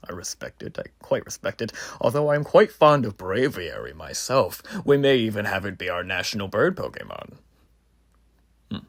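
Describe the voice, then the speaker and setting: A man's voice: on the low side, very animated, normal speed, vocal fry, no echo, clean studio sound, exaggerated voice. A man playing an earnest, proud revolutionary army officer in a roleplay, speaking to the listener in a formal, rambling, stately way. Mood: proud, wistful. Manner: formal, rambling, theatrically stately, hushed asides. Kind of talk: roleplay voice acting addressed to the listener.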